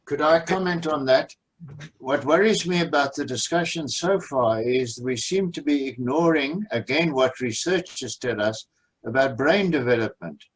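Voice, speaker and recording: Careful man, medium-pitched voice. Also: moderate pace, breathy edge, rough recording. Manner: careful, questioning. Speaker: discussion participant. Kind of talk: panel discussion.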